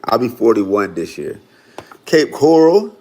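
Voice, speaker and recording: A man's voice: medium pitch, big pitch swings, slow pace, a little mellow. A man, talking casually and loosely to viewers on a livestream. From Florida. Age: 40s. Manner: loose, chatty, lots of "y'all" and "right?". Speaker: livestream host.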